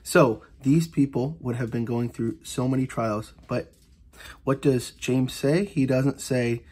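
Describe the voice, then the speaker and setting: A man's voice: medium pitch, slow pace, a little mellow, husky. A man giving an informal Bible study lesson. He speaks earnestly, often repeats himself and restarts with fillers. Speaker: Bible teacher. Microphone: voice-over on an overhead camera view of an annotated Bible.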